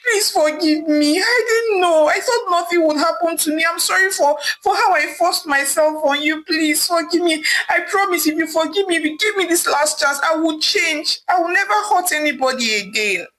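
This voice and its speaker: A woman's voice: fairly even intonation, takes it slowly, hardly any pauses, fairly bright tone, low-quality recording. A woman voicing a shamed young man who pleads and apologizes. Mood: pleading. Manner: pleading, apologetic, raised pitch.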